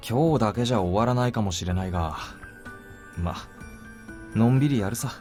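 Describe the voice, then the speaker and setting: Low, brisk young man played by an actor. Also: lively intonation, darkish tone, faint music behind, lightly acted. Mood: calm. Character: calm, dry, protective young man in a visual novel. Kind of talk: Japanese visual novel voice acting.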